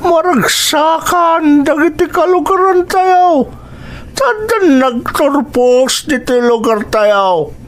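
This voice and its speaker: High-voiced, slow old Filipino grandfather acted by a man. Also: animated, full character voice. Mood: grave, kindly. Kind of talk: audio drama acting.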